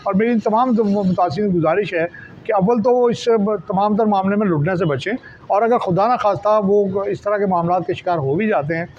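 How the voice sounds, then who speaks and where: A man with a Pakistani accent explains in an interview as a journalist, wordy and halting, often repeating and restarting his words. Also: high voice, a little mellow. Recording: dull lo-fi sound, faint background noise.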